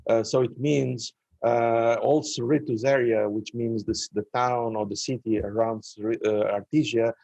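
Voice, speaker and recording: A male host guiding an interview, speaking deliberately with many pauses and "uh"s. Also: mid-range voice, steady tone, vocal fry, a little mellow, no echo.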